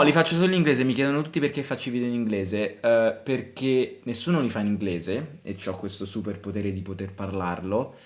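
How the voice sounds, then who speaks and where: Young Italian man hosting a chatty football watchalong. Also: lowish voice. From Italy. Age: young adult. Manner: chatty, answering chat questions between bursts of excited play reactions. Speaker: fan channel host. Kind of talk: casual live football watchalong chat.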